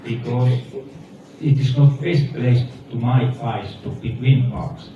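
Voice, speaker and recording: A man from Finland with a Finnish accent, speaking halting, plain-spoken English as a company head, pausing so an interpreter can follow him. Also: mid-range voice, steady tone, dark tone. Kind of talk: speech with consecutive interpreting. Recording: echoey hall, far from mic, dull sound, noisy.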